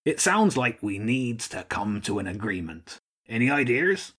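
A man voicing a gruff old seagull archduke in a storybook, commanding and shrewd, barking out orders. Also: medium pitch, big pitch swings, measured pace, a bit bright, full character voice. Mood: wry, relaxed. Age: older.

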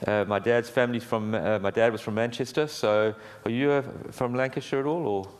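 A middle-aged man preaching a sermon in a church, with a booming voice and an earnest, conversational delivery full of small restarts. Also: fairly even intonation, fairly quick. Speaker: guest preacher. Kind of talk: sermon with prayer. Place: church hall.

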